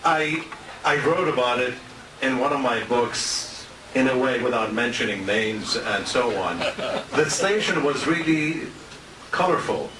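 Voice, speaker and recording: An older man giving an informal, rambling tribute speech in a halting, anecdotal way. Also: medium pitch, lively intonation. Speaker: retired radio announcer giving a tribute speech. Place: restaurant banquet room. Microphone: handheld compact camera at a distance. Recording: roomy, noisy handheld camera from far off.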